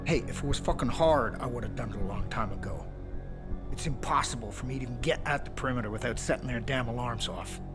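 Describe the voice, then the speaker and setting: A man's voice: mid-range voice, fast, close to an everyday voice. Game role: male actor as a cantankerous American hacker on the run, cocky folksy drawl of sarcasm, heavy music behind. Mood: sardonic, bitter. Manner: folksy, sardonic, swaggering. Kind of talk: video game cutscene acting. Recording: loud music behind the dialogue.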